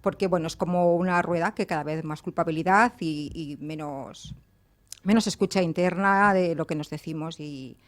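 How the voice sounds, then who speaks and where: A woman's voice: low-pitched, normal speed. A woman guest on a radio panel, speaking hesitantly and repeating words as she describes children's courses. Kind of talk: radio talk-show discussion. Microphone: studio microphone, at first too far.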